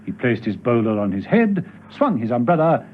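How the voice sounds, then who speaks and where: A man's voice: medium pitch, very animated, normal speed, slight background noise. A man narrating a scripted radio drama as its storyteller. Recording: dull old radio recording with music.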